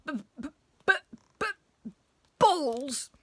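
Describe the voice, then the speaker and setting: Woman playing a pompous male teacher, storybook comedy, frantic stammering repeats, wildly swooping pitch, loud. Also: big pitch swings, very slow, no echo, exaggerated voice. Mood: appalled. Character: pompous, manic, flustered male schoolteacher, loudly outraged.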